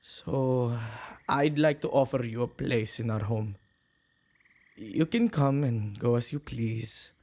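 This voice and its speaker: Man playing awkward male witch, ASMR roleplay, slow pace, hesitant, stammering, gentle-hearted, medium pitch. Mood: hesitant, surprised. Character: shy, awkward, kind-hearted male witch who tends ghosts. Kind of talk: ASMR boyfriend roleplay, acted monologue.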